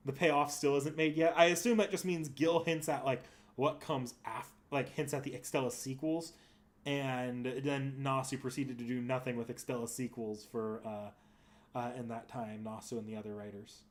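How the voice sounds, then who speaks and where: A man's voice: mid-range voice, steady tone, normal speed. A man hosting a casual livestream, chatting with viewers in a rambling way, thinking aloud and often correcting himself. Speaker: streamer and host.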